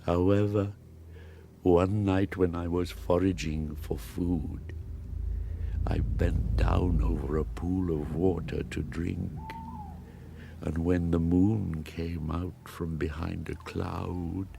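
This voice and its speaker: An actor playing a lonely, eloquent man-made monster in an audiobook drama, speaking formally and haltingly with a gravelly voice. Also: low voice, steady tone, background noise, full character voice. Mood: fearful. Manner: slow, halting, formal old-fashioned speech. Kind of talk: dramatised audiobook monologue and dialogue.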